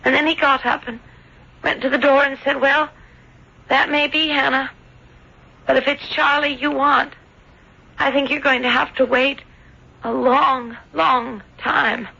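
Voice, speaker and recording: A woman's voice: sits high, steady tone, unhurried, creaky, long pauses, sharp tone, full character voice. A woman playing an anxious, heartsick young fiancée with a tense, plaintive delivery in a radio drama. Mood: distressed, tense. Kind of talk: 1970s radio drama acting. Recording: dull vintage radio broadcast.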